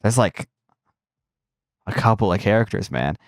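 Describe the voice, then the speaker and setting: A man's voice: lowish voice, animated, unhurried, vocal fry, no room sound, studio quality. A young man with a congested voice, chatting in a loose, rambling way while commenting on his gameplay. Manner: rambling and self-interrupting, drops slang. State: congested, recovering from a cold. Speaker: gaming streamer.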